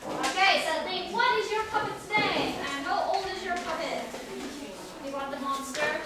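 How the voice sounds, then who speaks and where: A woman teacher giving children simple, clearly phrased instructions and questions in a classroom. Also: very high voice, moderate pace, very noisy. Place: indoor classroom. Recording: echoey classroom, distant mic, children chattering. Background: children's voices.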